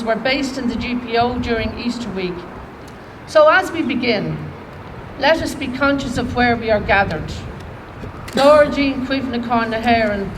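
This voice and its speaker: A woman with an Irish accent speaking solemnly and deliberately as master of ceremonies at a formal commemoration. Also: a little high. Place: outdoor memorial garden ceremony. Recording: distant outdoor microphone, clear background noise.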